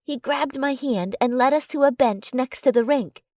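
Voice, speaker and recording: A woman narrating a first-person romance story in an expressive, dramatic storytelling way. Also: sits high, normal speed, no echo, studio quality. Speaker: audiobook narrator.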